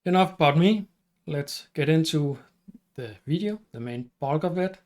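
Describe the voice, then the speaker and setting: A middle-aged man with a Danish accent, hosting his channel and explaining at an unhurried pace with some fillers and restarts. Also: a little high, expressive, a little mellow. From Denmark. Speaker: channel host and teacher. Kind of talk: casual explainer talk to camera.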